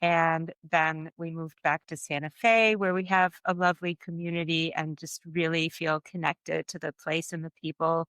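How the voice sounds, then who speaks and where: Smooth-voiced woman guest, reflective. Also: low-pitched, steady tone, moderate pace, few pauses, no echo. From Germany. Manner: warm, reflective, laughs often. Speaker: guest artist and author. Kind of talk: conversational interview.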